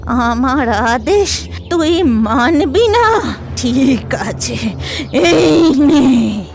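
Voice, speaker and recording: A woman voicing an old village grandmother in a cartoon, kindly yet commanding, with drawn-out emphatic delivery. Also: sits high, sing-song, gravelly, a little mellow, a little hiss or hum, heavily acted. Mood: stern, commanding. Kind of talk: cartoon story acting.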